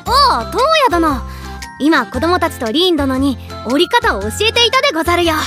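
A high-pitched cheerful samurai girl. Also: very animated, brisk, music in the background. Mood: cheerful, friendly. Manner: quaint old-fashioned samurai speech. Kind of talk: Japanese anime audio drama acting.

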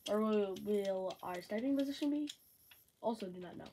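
A woman's voice: medium pitch, expressive, warm tone, slight background noise. A woman with a sore throat, casually commenting on her game from a bedroom and rambling to herself. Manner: slow, rambling, talks to self. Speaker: gamer.

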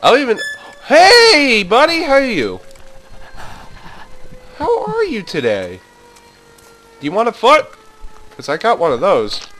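A man's voice: very high-pitched, huge pitch swings, unhurried, pauses often, pushed and bright, soft background music, low-quality recording. Jumpy young man playing a horror game. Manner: jumpy, swearing, nervous laughs, yelps when startled. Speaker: gaming channel host. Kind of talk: let's play commentary.

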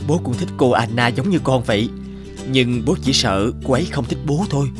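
A man voicing a shy, kind young single father in an animated drama, hesitant and bashful. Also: medium pitch, moderate pace, over music, natural voice.

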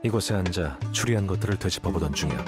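An actor playing a cool, aloof young scholar in a video game, deadpan and dismissive, with a slightly husky voice. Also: male voice, sits low, fast, loud music behind the voice. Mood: aloof, dry. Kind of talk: game character dialogue.

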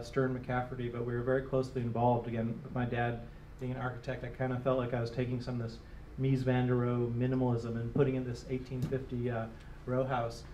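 A middle-aged man from Detroit talks into a handheld mic in a small room, loose and rambling. His voice sits at an ordinary pitch. The recording is roomy, a bit distant, with a faint hiss. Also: darkish tone. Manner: rambling, many fillers and restarts. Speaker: interviewed designer. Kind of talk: casual onstage interview. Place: small gallery room, live audience. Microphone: handheld microphone.

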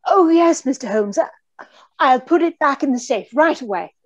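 A woman's voice: sits high, expressive, normal speed. A woman playing an anxious, genteel young fiancée in old-time radio mystery style, with a pleading manner. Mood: worried. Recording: dull video-call audio.

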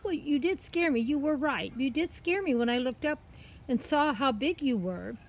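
A woman's voice: sits high, animated, moderate pace, pitched above the performer's own voice, poor audio. A woman voicing a timid, polite little girl in a children's story, hesitant and earnest. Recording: outdoor ambient noise, faint.

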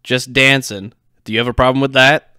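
Man playing a bright-voiced, petulant cartoon dad. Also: medium pitch, fairly even intonation, moderate pace, clean tone, no room sound, studio quality, voice pushed up, close to an everyday voice. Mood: defiant. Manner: defensive, childish. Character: tipsy, petulant middle-aged cartoon dad.

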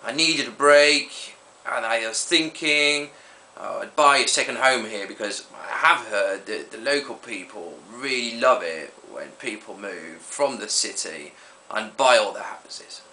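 A man playing a wretched man ruined by drink, giving a halting, rueful confession in a mock documentary interview. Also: fairly low pitch, bright, forceful tone, far from the mic, slight background noise, exaggerated voice, low-quality recording. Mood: wistful, rueful.

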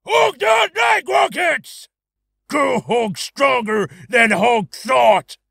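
A raging giant bellows slow, broken sentences with big swings in pitch. He is brutish and childlike, a game bruiser. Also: expressive, creaky, breathy edge, no echo, clean studio sound, heavily acted. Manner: shouting in broken, simple speech. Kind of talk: video game character voice reel.

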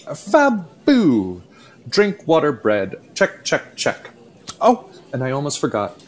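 An actor playing a pretentious, fussy steakhouse waiter in a comic radio play, with a florid, easily flustered way of talking. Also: male voice, mid-range voice, very animated, measured pace, slight background noise. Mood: fussy, insistent. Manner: pompous, florid, stammering. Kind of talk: radio play comedy acting.

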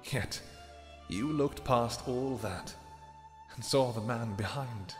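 A man's voice: mid-range voice, slow pace, soft background music, natural voice. A man playing a devoted royal vampire king in a romantic fantasy roleplay, speaking formally and intimately to his lover. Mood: tender, devoted. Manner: formal, archaic, intimate phrasing.

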